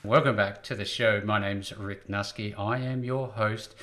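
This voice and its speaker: A man's voice: fairly low pitch, fairly even intonation, fairly bright tone, faint music behind. A man hosting a business interview podcast, reading an upbeat show intro and asking his guest questions. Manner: upbeat, fast promotional delivery with light laughs. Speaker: podcast host.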